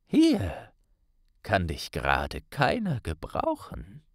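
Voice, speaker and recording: A narrator voicing an unbothered old male wizard professor with a measured manner in an audiobook. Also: deep voice, wildly swooping pitch, dry recording, studio quality, voice pushed down, full character voice. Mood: calm. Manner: measured, unhurried.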